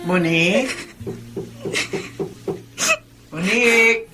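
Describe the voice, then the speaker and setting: An actress with a Filipino accent playing a caring, gently scolding middle-aged mother in a radio drama, over background music. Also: medium pitch, a little slow, close to an everyday voice. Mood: concerned, tender. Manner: motherly, coaxing.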